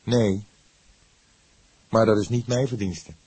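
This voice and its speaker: A man's voice: on the low side, steady tone, slow pace, a little mellow, breathy edge, no room sound, pitched above the performer's own voice, exaggerated voice, low-quality recording. A man voicing an earnest, defensive young man under questioning, speaking emphatically in acted audiobook dialogue. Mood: frank, cocky. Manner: emphatic, flaring into defiance.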